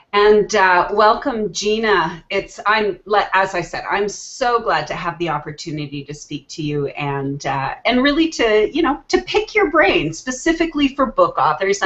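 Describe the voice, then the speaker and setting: A woman's voice: medium pitch, fairly bright tone, highs cut off. A woman hosting an online show over a video call, chatty and friendly, often restarting her sentences. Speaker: host. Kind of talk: casual video call chat.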